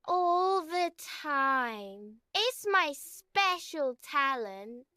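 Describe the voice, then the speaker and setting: A chirpy tiny magic bunny. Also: male voice, very high voice, big pitch swings, drawn-out words, pushed and bright, dry recording, clean studio sound, voice pushed up, full character voice. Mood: cheerful. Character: cheerful tiny magic bunny, male, proud. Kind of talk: bedtime story reading.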